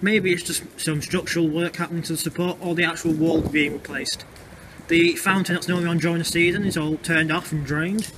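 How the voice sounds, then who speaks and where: A man talks his way through a walking tour, chatty and a little halting as he explains things. His voice sits a touch high, and the camera mic picks up plenty of street and traffic noise around him. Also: steady tone. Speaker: vlogger. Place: outdoors in urban streets and underpass. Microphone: handheld camera mic. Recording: noisy outdoor street sound. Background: traffic and street ambience.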